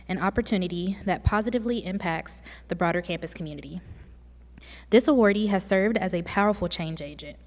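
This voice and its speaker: A woman's voice: mid-range voice, darkish tone. A woman in her thirties presenting an award with a scripted speech, heard echoing in a hall. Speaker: award presenter, university staff member. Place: large event hall at an awards ceremony.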